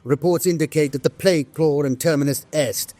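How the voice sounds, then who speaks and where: A man voicing a grave, dutiful staff officer of a far-future army in an audio drama, formal, solemn and measured. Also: on the high side, warm tone, lightly acted. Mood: grave, urgent. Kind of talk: scripted audio drama narration of war journals and briefings. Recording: music behind a studio voice.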